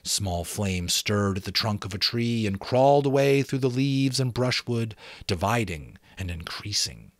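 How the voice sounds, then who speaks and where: A man's voice: lowish voice, animated, measured pace, no echo. A man reading a novel aloud as a dramatic amateur audiobook narrator.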